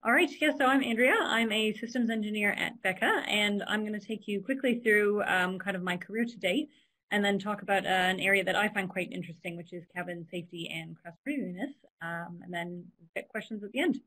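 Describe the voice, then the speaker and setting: A woman's voice: medium pitch, a little fast, sharp tone, rough recording. A young woman with a Canadian accent, giving a casual engineering talk over a video call, chatty with frequent ums. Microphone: laptop webcam on a video call.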